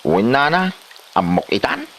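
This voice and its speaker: Guy doing whiny lazy ilocano son, pitch jumping all over the place, comedy drama. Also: huge pitch swings, normal speed, creaky, fairly bright tone, a little hiss or hum, exaggerated voice. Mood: sheepish. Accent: Filipino, Ilocano. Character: lazy, whiny grown son, reluctant new father.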